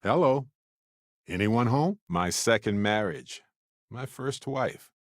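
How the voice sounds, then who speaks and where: Wry, deep-voiced space explorer. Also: male voice, slow, no echo, studio quality. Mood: friendly, casual. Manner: wry, playful, expressive. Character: male space explorer companion, friendly, wry, quick-witted. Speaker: video game companion character. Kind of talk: acted video game dialogue lines.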